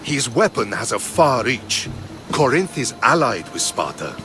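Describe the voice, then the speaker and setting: A man playing a principled, measured Spartan soldier in dramatic video game dialogue. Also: mid-range voice, very animated, music in the background.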